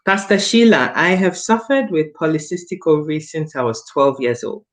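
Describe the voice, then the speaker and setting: A woman's voice: sits low, moderate pace. A woman in her late thirties giving a conversational personal testimonial as a guest, stressing her points emphatically with repeated phrases. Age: late 30s.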